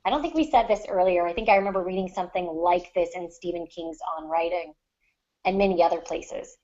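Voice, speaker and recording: Lower-pitched woman, dull lo-fi audio. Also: a little fast, darkish tone. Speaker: podcast co-host, fiction writer. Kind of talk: casual panel discussion, giving writing advice. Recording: dull lo-fi online podcast audio.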